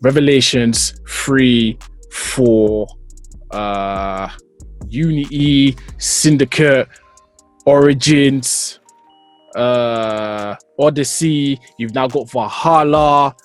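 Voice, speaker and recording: A young man with a London accent and a husky voice, chatting casually as a podcast co-host, slangy and repeating himself for emphasis. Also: mid-range voice, drawn-out words, soft background music. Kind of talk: casual banter about films and games.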